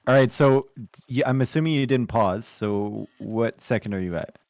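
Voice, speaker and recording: A man with a Canadian accent casting a strategy game, joking in relaxed banter. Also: medium pitch, moderate pace, dry recording, clean studio sound. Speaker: game caster. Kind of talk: casual co-casting play-by-play.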